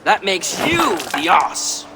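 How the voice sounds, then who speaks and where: An actor playing a defiant, cocky seventeen-year-old Viking prince in a video game, brash and sarcastic. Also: male voice, high voice, big pitch swings, takes it slowly, bright, forceful tone, heavily acted. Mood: insolent. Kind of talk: video game cutscene acting.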